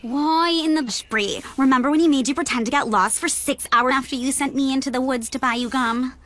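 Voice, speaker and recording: A woman's voice: sits high, a little fast, fairly bright tone. A woman voicing a sardonic young camper girl in a cartoon, snarky and dry. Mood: sarcastic. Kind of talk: animated cartoon acting.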